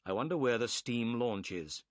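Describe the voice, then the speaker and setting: A man voicing a calm, clever male detective who speaks politely, briskly and with assurance. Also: mid-range voice, no echo, clean studio sound, close to an everyday voice. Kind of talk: audiobook character voice.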